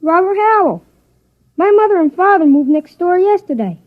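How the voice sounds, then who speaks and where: An adult playing a calm, telepathic six-year-old boy in a 1950s radio drama. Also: extremely high pitch, normal speed. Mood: matter-of-fact. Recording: dull old radio recording.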